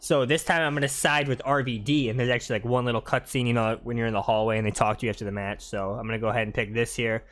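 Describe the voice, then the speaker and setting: A man's voice: mid-range voice, steady tone, very fast, hardly any pauses, a bit bright. A man hosting a gaming video with casual, chatty commentary. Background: faint music.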